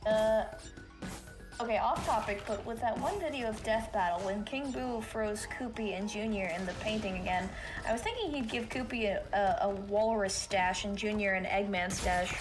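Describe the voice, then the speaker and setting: A woman's voice: mid-range voice, moderate pace. A young woman with a youthful, babyish voice, chatting casually as a stream co-host. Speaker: stream co-host and emote artist. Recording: music behind the voice.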